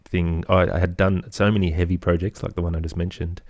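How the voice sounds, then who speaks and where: An Australian man speaking as an interview guest, thoughtful and halting as he searches for his words in reflective conversation. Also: low-pitched, level tone, darkish tone, dry recording, clean studio sound. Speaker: guest, composer and children's podcaster.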